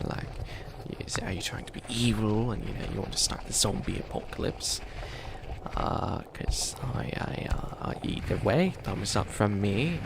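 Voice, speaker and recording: A man playing a bumbling, kindly wicked witch in an ASMR roleplay, soft-spoken and hesitant, with a lot of stammering and rambling. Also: medium pitch, gravelly, background noise. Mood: nervous, supportive, then hesitant, encouraging. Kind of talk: ASMR roleplay, improvised comedic monologue.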